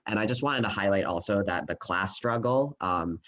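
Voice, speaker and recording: A panelist speaking in a casual discussion, thoughtful and halting, with many pauses and filler words. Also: male voice, on the low side, lively intonation, fairly bright tone. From United States.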